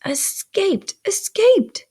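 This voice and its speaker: A woman's voice: very high voice, big pitch swings, very slow, dark tone, pitched above the performer's own voice, full character voice. A woman voicing a snappish, exasperated older sister scolding a child in a storybook reading. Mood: snappish, irritated.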